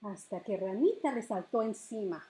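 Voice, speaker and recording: A middle-aged woman hosting a bilingual storytime as a children's librarian, reading picture books aloud with deliberate phrasing for small children. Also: medium pitch, animated, warm tone. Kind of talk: bilingual picture-book read-aloud for preschoolers. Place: living room at home, indoors.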